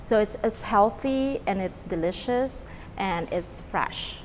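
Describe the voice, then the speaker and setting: A woman's voice: mid-range voice, animated, slow pace, a little hiss or hum. Woman from Vietnam, middle-aged, restaurant owner; casual, rambling with fillers; long reverb tail. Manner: chatty, many fillers and restarts. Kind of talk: casual interview. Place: restaurant dining room.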